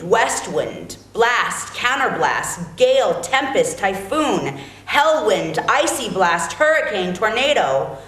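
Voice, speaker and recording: A woman playing a solemn mother queen in a dramatic epic reading, with an incantatory, declamatory delivery. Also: mid-range voice. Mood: fervent invocation. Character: solemn mother queen praying for her son. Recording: roomy, echoing recording.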